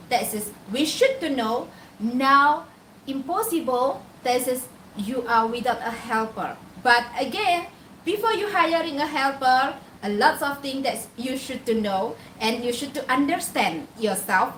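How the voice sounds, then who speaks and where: A woman's voice: sits high, animated, unhurried, a bit bright, echoey room, distant mic, slight background noise, rough recording. A Javanese woman from Indonesia with an Indonesian accent, hosting a casual live-stream talk and answering her own questions as she explains. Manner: poses questions and answers them herself. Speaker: live-stream host advising employers on hiring helpers.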